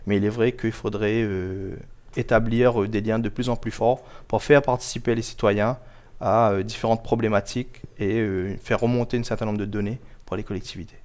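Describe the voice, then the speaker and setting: A man with a fairly low, smooth and clear voice answers questions as an interview guest. He explains things formally and carefully, with measured phrasing and frequent small pauses. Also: steady tone, darkish tone. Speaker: guest, local government project officer.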